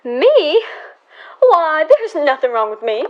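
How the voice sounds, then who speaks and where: A woman's voice: sits high, sing-song, slow, muffled recording, full character voice. A young woman playing a kindly, fussing, folksy mother in 1930s radio serial style. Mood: flustered. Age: teenager or young adult. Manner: folksy, exclamatory.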